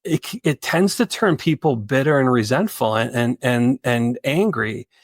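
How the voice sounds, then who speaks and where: A man's voice: medium pitch, animated, normal speed, no echo, clean studio sound. A man co-hosting a podcast, speaking earnestly and repeating words for emphasis. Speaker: podcast co-host. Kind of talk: reflective conversation.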